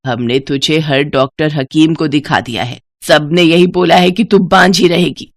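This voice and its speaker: A woman's voice: deep voice, steady tone, a little slow. A woman voicing a cruel, scornful older mother-in-law, harsh and taunting, in a family morality story.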